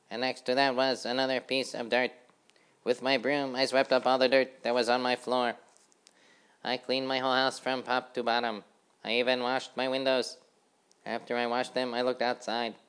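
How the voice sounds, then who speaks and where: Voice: medium pitch, fairly bright tone, clear, resonant tone, pitched above the performer's own voice, lightly acted. A narrator voicing a fussy housefly who sweeps the road and never wants to rest. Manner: eager, fussy.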